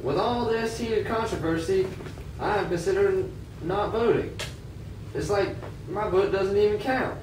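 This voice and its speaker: A man's voice: high-pitched, unhurried. A man playing a disgruntled old-time American working man in an amateur puppet show. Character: disgruntled 19th-century American working man, sceptical of politics. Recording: echoey room, distant mic, faint noise.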